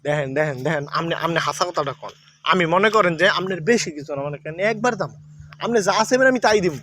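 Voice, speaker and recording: Fast-talking, pushy, lecherous village man, acted out by a man. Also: fairly high pitch, pushed and bright, slight background noise. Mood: sly, coaxing. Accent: rural Bangladeshi Bengali. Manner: insistent, repetitive, nagging. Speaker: actor in a comedy sketch. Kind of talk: improvised comic dialogue.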